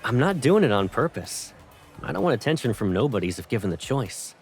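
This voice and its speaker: Man acting young male captain, romance game dub, teasing, intimate, devoted, lively pitch, background music. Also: a little fast.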